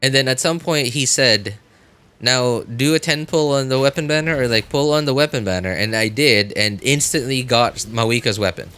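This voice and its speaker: A man chatting casually to viewers as a game livestreamer, rambling and often repeating himself in a halting way. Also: mid-range voice, bright, forceful tone, smooth.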